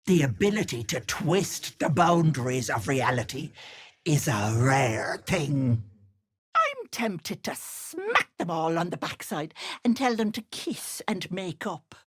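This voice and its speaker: Old hag, game villain, fake-sweet auntie, gloating, low-pitched, wildly swooping delivery, theatrical menace. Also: female voice, wildly swooping pitch, slow, slightly rough, full character voice. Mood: folksy, sly. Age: older. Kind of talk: video game character acting.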